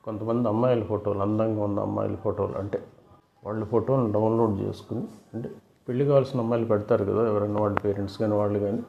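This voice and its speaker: Low, gravelly man, roomy sound. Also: steady tone, quick pace, dull audio. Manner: repeats and rephrases points, addresses viewers as friends. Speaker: video host giving fraud awareness advice. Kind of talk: casual explanatory storytelling to viewers.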